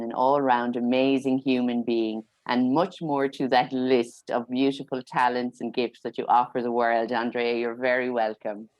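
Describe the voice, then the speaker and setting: A woman's voice: a bass voice, no room sound. A woman hosting a podcast, introducing her guest in an effusive, chatty way. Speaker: podcast host. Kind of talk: casual chat.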